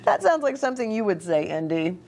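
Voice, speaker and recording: A middle-aged woman reading a picture book aloud to young children, animated, with chatty asides and questions for her listeners. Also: medium pitch, brisk. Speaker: children's ministry storytime host. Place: small indoor reading nook with bookshelf and curtains.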